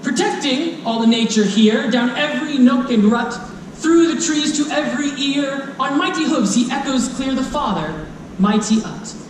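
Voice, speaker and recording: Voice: high-pitched, moderate pace. Playful dramatic storyteller doing a kids book on stage, super echoey room, bit of background noise. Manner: dramatic, playful, addressing listeners directly. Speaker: storyteller presenting a children's book. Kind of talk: theatrical rhyming storybook reading to a live audience. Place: convention stage before a live audience. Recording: echoey live hall, distant mic, faint noise.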